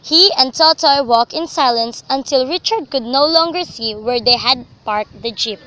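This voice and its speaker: A woman's voice: high-pitched, normal speed, bright, forceful tone. A young woman reading scripted, dramatic story narration for a student radio drama. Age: young adult. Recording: faintly noisy amateur recording.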